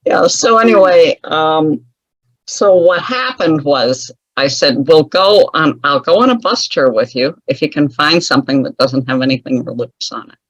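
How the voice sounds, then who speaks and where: A woman's voice: deep voice, moderate pace, no room sound, low-quality recording. Chatty wry woman telling stories. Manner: chatty, wry, laughs often at her own stories. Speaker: guest author. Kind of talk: casual storytelling interview.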